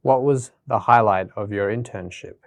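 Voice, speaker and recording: Male interviewer, lowish voice, dry sound, plain scripted questions. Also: normal speed, creaky, no echo, dull audio. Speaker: interviewer and announcer. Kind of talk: scripted questions and title read.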